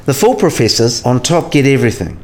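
A man acts out a cynical, sidelined professor in a radio play. His mid-range voice moves freely up and down as he explains, wry and didactic, in measured phrases. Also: medium pitch, sing-song. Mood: matter-of-fact. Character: cynical, sidelined male professor giving career advice.

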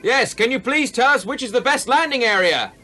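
A man's voice: extremely high pitch, expressive, fairly quick, full character voice. A man voicing an earnest man in a dubbed cartoon, with music behind him. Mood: polite, questioning. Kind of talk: English cartoon dub. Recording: clip with loud music behind.

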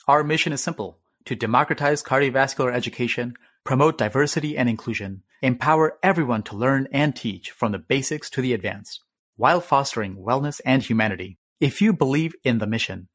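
Enthusiastic guy cohosting a medical podcast, mid-pitched voice. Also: fairly bright tone, no echo, clean studio sound. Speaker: podcast co-host.

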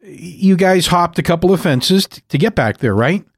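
Man playing a worried grandson, soft-spoken, gentle, dry close sound. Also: high voice, animated, moderate pace, vocal fry, slightly rough, no echo, pitched above the performer's own voice, exaggerated voice. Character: worried grandson at a hospital bed.

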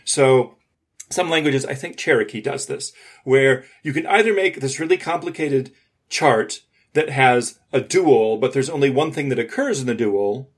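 A man's voice: medium pitch, normal speed, husky. A man co-hosting a podcast, explaining technical ideas step by step in an informal discussion. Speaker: podcast co-host.

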